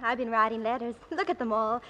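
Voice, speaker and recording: A woman's voice: on the high side, fast talker, a bit bright, lo-fi sound, lightly acted. An actress playing a chatty, apologetic teenage girl in an old radio drama, earnest and a little awkward. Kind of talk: 1940s radio drama acting.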